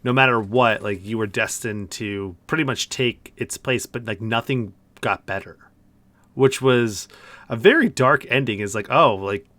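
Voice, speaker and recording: A man with an average-pitched voice hosts a gaming podcast. He speaks informally and conversationally, and the sound is dry, with no room echo. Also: measured pace, fairly bright tone. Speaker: podcast host. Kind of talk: casual video game discussion.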